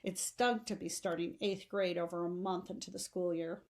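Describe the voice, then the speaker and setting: A woman reads a novel aloud in an expressive, audiobook-style storytelling delivery. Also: on the low side, moderate pace. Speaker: teacher reading a novel aloud.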